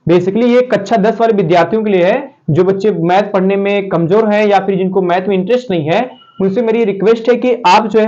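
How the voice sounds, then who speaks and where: A male math teacher with a sore, slightly hoarse throat, talking students through a lesson in a chatty, repetitive way. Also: high voice. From Uttar Pradesh. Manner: chatty, repetitive, addresses students warmly as "pyare saathiyon". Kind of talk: casual spoken math lesson. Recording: dull lo-fi sound.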